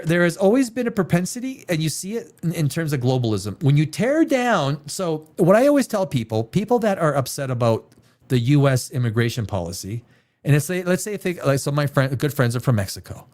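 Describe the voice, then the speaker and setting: A man's voice: on the high side, darkish tone. A middle-aged man from Canada hosting a live show, ranting his opinions in an emphatic, profane way and repeating himself. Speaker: podcast host. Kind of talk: livestream opinion rant.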